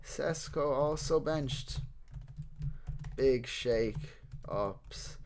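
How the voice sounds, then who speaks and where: A man's voice: medium pitch, drawn-out words, a little mellow, slight background noise. Casual Irish man hosting a livestream. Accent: neutral Irish. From Ireland and several countries abroad. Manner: informal, sweary, talking to viewers. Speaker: livestream host. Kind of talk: casual livestream chat reacting to team news.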